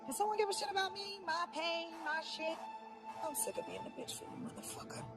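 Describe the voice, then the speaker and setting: A woman's voice: very high-pitched, a little fast. An actress playing a troubled, guarded married woman in a naturalistic drama, with blunt, streetwise, profane speech. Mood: bitter, pained. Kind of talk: naturalistic screen drama acting. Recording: loud music behind the voice. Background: music.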